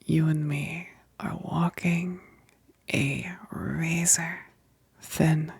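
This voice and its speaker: A woman acts out a seductive female vampire in an ASMR roleplay, hungry yet holding back. Her whispered voice is lowish and gravelly, full of breath. She lingers teasingly over her words, close to the mic in a dry space. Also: fairly even intonation, drawn-out words, dry recording, heavily acted. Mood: teasing, menacing. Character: hungry, seductive female vampire, a possessive but restrained best friend. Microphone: close, dry microphone.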